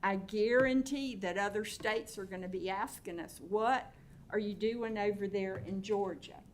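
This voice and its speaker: A woman's voice: mid-range voice, a little slow, a little hiss or hum. A woman giving an earnest, rallying address to a state board, urging everyone to work together. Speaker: guest speaker.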